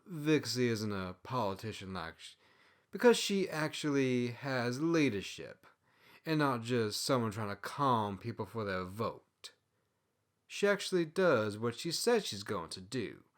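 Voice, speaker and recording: A man's voice: medium pitch, sing-song, measured pace, a bit bright. A man voicing an outspoken, opinionated female tanuki servant in a storybook, arguing with emphasis. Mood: emphatic, scornful.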